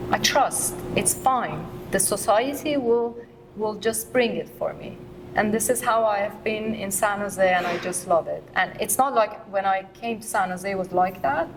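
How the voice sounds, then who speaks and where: Woman, artist on a panel, emphatic, lively, medium pitch. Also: moderate pace, background noise. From Iran. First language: Persian. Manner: animated and emphatic, laughs often. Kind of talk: casual panel conversation.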